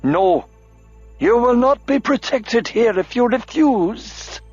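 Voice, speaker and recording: A man's voice: very high-pitched, animated, slow pace, a little mellow, breathy edge, pitched above the performer's own voice, full character voice. A man voicing a cold, ruthless bald mind-reader who speaks in harsh, curt threats. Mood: cold, furious. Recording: lo-fi audiobook with faint music.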